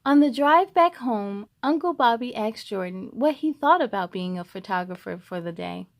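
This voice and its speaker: A woman hosting a children's read-aloud, expressive and encouraging as she speaks to young listeners. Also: fairly high pitch, moderate pace. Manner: expressive, encouraging, addresses young viewers directly. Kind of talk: animated storybook reading for children.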